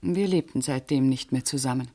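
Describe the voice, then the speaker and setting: A woman's voice: very deep voice, a little fast, mellow. A composed female bank official in a radio play. She is exacting and guarded, giving restrained, precise answers in short phrases and keeping firm control of herself. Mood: controlled, terse. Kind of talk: German radio play acting.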